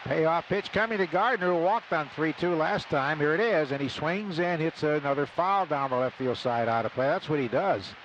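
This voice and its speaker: Older American male play-by-play voice, fairly high, conversational storyteller, live booth with noisy stadium background. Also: moderate pace, hardly any pauses, muffled recording. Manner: conversational, storytelling between pitches. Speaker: baseball play-by-play announcer. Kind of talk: live radio sports commentary. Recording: live radio booth with loud stadium ambience.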